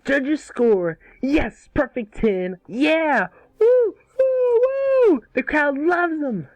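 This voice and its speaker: Performer as boastful cartoon boy, age ten, high voice, swooping intonation, excitable prankster. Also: extremely slow, no room sound, heavily acted. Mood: boastful, excited. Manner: boastful, exclamatory. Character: cocky, excitable ten-year-old boy hero, prankster. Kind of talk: amateur cartoon script table read.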